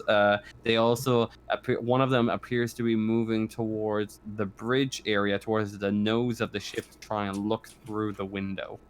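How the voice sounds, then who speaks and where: A man's voice: on the low side, clear, resonant tone. A man narrating a tabletop game casually as game master, often stammering and repeating phrases as he describes things.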